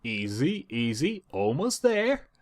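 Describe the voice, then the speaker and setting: A man's voice: medium pitch, huge pitch swings, takes it slowly. A man voicing a hard-working young female farm pony with a folksy Southern American drawl, bossy and familiar. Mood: urging, strained.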